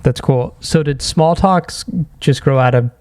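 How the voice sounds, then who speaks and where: Mellow man hosting a casual interview. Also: mid-range voice, measured pace, clear, resonant tone. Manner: easygoing, short acknowledgements before questions. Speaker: podcast host, interviewer.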